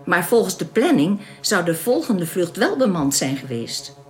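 A woman acting in a radio drama as a calm, welcoming young alien who explains things politely and with composure. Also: on the low side, normal speed. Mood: earnest, explanatory.

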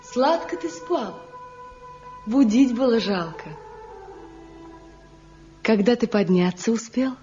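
An actress playing a tender young village wife in a radio drama, speaking softly and wistfully with a breathy voice. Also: female voice, fairly high pitch, animated, slow, pauses often, over music. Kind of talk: stage-trained radio drama acting. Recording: muffled old radio drama recording.

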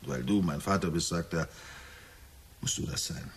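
An actor playing a gloomy middle-aged husband in a German radio drama, speaking in a measured storytelling way with dry irony. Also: male voice, deep voice, a little hiss or hum, natural voice. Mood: grim, hushed recounting. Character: gloomy middle-aged husband recounting a nightmare, wryly forbearing.

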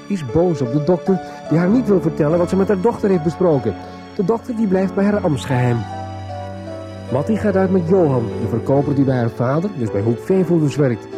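A man serves as narrator of a radio serial, reading a scripted recap. His voice is a little high, and music plays clearly beneath it.

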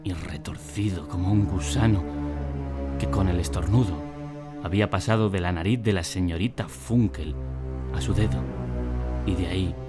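A man's voice: low-pitched, normal speed, pauses often, over loud music, natural voice. An actor playing a man who recounts his boyhood in wry, literary radio drama narration. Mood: wry, horrified. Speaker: first-person narrator.

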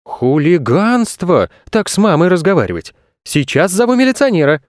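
Man as an indignant, high-pitched old granny. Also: sing-song, normal speed, no echo, studio quality. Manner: indignant, scolding. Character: indignant, scolding elderly grandmother at the zoo. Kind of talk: children's story reading.

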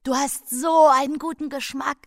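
A woman's voice: sits high, steady tone, normal speed. An actress playing an impulsive, eager young woman who coaxes her friend in a radio drama. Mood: eager, coaxing. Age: young adult. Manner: coaxing, eager. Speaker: actress in a supporting part.

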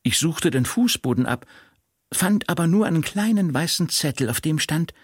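Male radio storyteller: expressive scripted reading, husky, very dry studio sound. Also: a little high, normal speed, no echo. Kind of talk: scripted literary narration, radio play.

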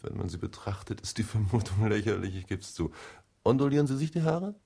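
A man's voice: on the low side, lively intonation, brisk, creaky, mellow. An actor in a German radio drama playing a dogged male police detective, probing, ironic and mock-courteous. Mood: slyly polite.

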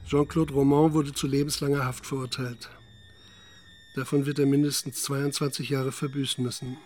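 A man's voice: mid-range voice, flat delivery, normal speed, darkish tone, slight background noise. Sober male radio drama narrator. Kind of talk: scripted literary narration. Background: music.

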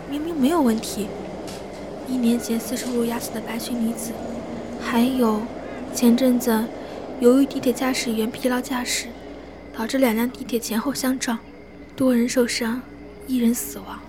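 A woman acts a young passenger in a horror radio drama. Her high voice is wary and gossipy, set against clear ambient noise. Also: steady tone, normal speed, natural voice.

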